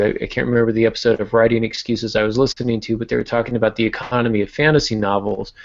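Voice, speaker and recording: Gravelly-voiced man teaching informally. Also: mid-range voice, muffled recording. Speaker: podcast host and writing teacher. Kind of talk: conversational teaching about writing.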